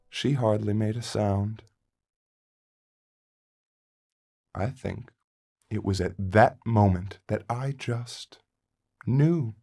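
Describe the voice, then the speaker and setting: A man acting a wistful father who recounts a dark memory to his child in a conversational, reflective monologue with dramatic pauses. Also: mid-range voice. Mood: subdued, tender. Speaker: narrator of an audio play. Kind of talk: first-person dramatic monologue, storytelling. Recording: music under the voice.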